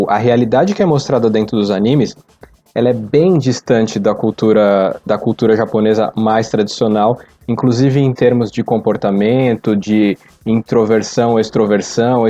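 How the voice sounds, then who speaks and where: Mellow, hesitant Brazilian man, slightly muffled. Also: fairly low pitch. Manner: hesitant, rambling, self-correcting. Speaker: podcast participant. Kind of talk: casual podcast discussion.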